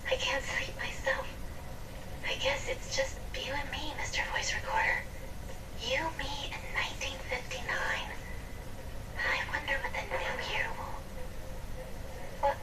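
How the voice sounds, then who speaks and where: High-voiced, frazzled schoolteacher, echoey recording. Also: female voice. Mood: hushed, tired, then alarmed. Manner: exasperated scolding and weary confiding. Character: frazzled schoolteacher chaperoning a children's sleepover party. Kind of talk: video game audio diary acting. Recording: roomy, distant, noisy game playback.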